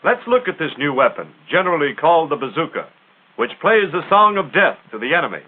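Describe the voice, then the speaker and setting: High-pitched American man, vintage film narrator. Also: big pitch swings, a little fast. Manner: punchy, emphatic, instructional. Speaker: military training film narrator. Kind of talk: 1940s military training film narration. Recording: tinny narrow-band 1940s film soundtrack.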